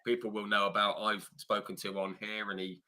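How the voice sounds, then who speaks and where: A man's voice: on the low side, level tone, fairly quick, pushed and bright. A man in his forties hosting a live chat show, easygoing, reading out viewer comments. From England. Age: 40s. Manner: friendly, reads viewer comments. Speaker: host.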